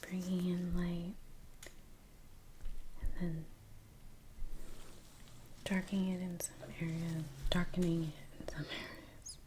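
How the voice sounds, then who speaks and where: A woman speaking in a soft, breathy near-whisper, murmuring an unhurried ASMR commentary as she paints. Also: lowish voice, flat delivery, slight background noise, rough recording. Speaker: ASMR creator narrating her painting. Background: soft brush and click sounds.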